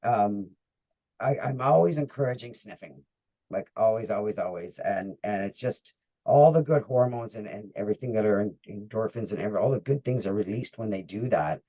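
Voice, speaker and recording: Mellow-voiced man, animated host. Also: on the low side, low-quality recording. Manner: animated, quick asides, enthusiastic. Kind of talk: casual interview chat.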